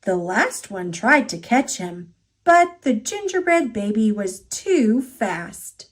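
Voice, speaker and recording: A woman with an American accent reading a children's story aloud in an expressive, deliberate manner. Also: a little high. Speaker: storybook reader.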